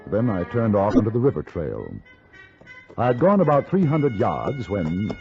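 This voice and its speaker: A man playing a wry, polite English reporter in the West, with a measured, understated delivery in a radio drama. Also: lowish voice, very animated, darkish tone. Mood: wry storytelling. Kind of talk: first-person radio drama narration and dialogue. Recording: muffled 1950s radio, music behind.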